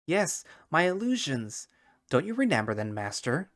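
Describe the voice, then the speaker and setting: Man voicing a clingy, devoted furry creature for an audio story; high, bright, eager. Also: sing-song, normal speed. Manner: chipper, pleading.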